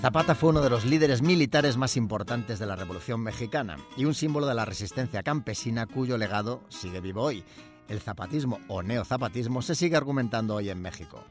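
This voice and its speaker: A man's voice: mid-range voice, lively intonation, a little fast, soft background music. Wry male history presenter. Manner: wry, ironic asides. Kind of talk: scripted humorous history narration.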